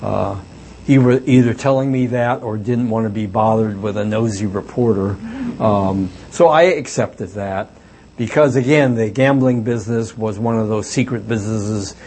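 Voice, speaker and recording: A man's voice: lowish voice, moderate pace, a little mellow, slight background noise. An older man, an author and former reporter, telling stories at a book talk in a reflective, hesitant way with many fillers. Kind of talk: book talk with audience questions.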